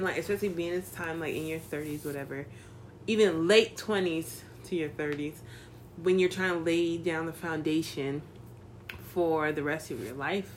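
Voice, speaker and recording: A woman's voice: low-pitched, slight background noise. A woman in her thirties in casual chat, playful and teasing with slang.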